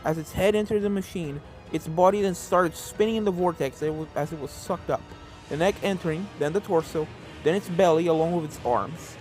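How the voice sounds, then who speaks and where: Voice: mid-range voice, a little fast, a little mellow. Storyteller reading fiction over game music. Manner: reads with occasional stumbles and self-corrections. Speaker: narrator of a fan fiction reading. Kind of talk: expressive story narration. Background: video game music.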